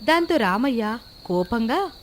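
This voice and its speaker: A woman's voice: fairly high pitch, very animated, unhurried, slight background noise. A woman narrating a scripted moral story for children.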